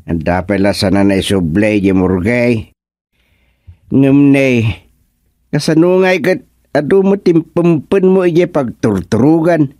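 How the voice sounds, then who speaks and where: An actor playing a talkative, comic village man in a radio comedy drama, with exclamatory, drawn-out delivery. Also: medium pitch, warm tone, dry recording, studio quality, lightly acted. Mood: earnest.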